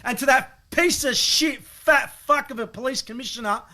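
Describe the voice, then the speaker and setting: A man's voice: very high voice, moderate pace, bright, forceful tone. A man on an angry, profane rant, indignant and emphatic.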